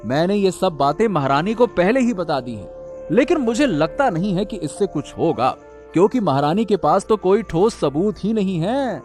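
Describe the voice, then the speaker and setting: A man's voice: high-pitched, sing-song, moderate pace, a little mellow, soft background music. A man playing an earnest royal subject in a cartoon story, explaining things in a serious, urgent way. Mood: concerned, determined. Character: earnest male subject exposing a conspiracy.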